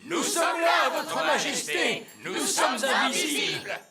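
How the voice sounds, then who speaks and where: A man voicing a deferential, earnest elf in a French cartoon, with a raspy, forceful voice, eager to please. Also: extremely high pitch, takes it slowly, loud music behind the voice, a loud crowd. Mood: anxious.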